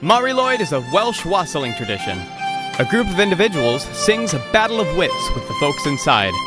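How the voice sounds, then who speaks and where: Man playing a high-voiced theatrical storytelling bard over music. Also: big pitch swings, fairly quick. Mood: measured storytelling. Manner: declamatory, storytelling. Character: theatrical male bard narrating a folk-tale quest. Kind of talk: scripted narration and rhymed declamation. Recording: music loud behind the voice.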